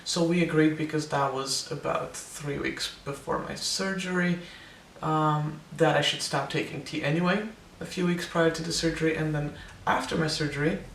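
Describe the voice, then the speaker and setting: A man's voice: on the high side, fairly even intonation, normal speed, vocal fry, fairly bright tone, echoey room, far from the mic, slight background noise. A young man giving a casual, hesitant personal update, full of ums and restarts, his voice sometimes cracking with air breaking through. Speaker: vlogger.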